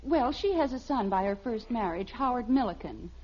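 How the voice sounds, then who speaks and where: An American actress playing a sweet, innocently evasive housewife and mother in a 1950s radio sitcom, placating and gently defensive. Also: fairly high pitch, animated, normal speed, lightly acted. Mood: anxious, evasive. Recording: dull, slightly noisy old radio recording.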